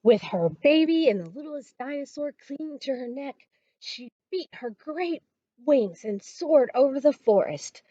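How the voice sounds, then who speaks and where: A woman reading a children's story aloud as a storytime reader, expressive and dramatic. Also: sits high, measured pace, no echo, muffled recording.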